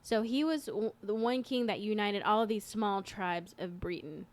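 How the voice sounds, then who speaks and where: A woman's voice: medium pitch, moderate pace. Female podcast co-host, mid-range voice, casual and earnest explainer.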